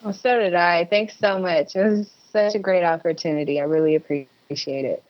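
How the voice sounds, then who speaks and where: A woman's voice: on the low side, steady tone, normal speed. A woman giving casual advice as an interview guest, heard over a dull lo-fi call. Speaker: interview guest, actress and filmmaker. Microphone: remote call.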